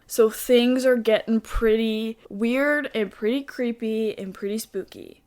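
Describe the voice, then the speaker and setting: A woman co-hosting a chatty podcast, with a quiet, soft voice, rambling and giggling, saying "like" a lot. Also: a little high, fairly even intonation, unhurried. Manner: giggly, rambling, says "like" often. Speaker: podcast co-host. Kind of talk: casual chat.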